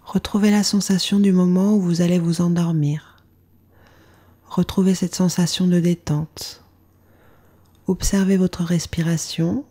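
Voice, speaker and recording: Slow, mellow woman guiding meditation. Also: lowish voice, steady tone. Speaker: yoga nidra meditation instructor. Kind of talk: guided meditation narration.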